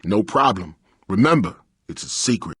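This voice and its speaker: A jittery, cautious villager from a fantasy game. His voice is low and husky, and he confides everything urgently, like a conspirator. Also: lively intonation, moderate pace, fairly bright tone, no room sound, studio quality, full character voice. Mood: furtive, nervous. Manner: urgent, conspiratorial, clipped colloquial speech. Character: secretive, cautious townsman confiding a tip. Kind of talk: fantasy game character acting.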